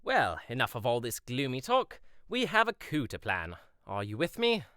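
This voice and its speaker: A man's voice: mid-range voice, wildly swooping pitch, moderate pace, pushed and bright, no room sound, clean studio sound. A young man playing an earnest, playful prince who speaks formally in a fairytale audio drama. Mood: rallying. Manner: formal, old-fashioned wording.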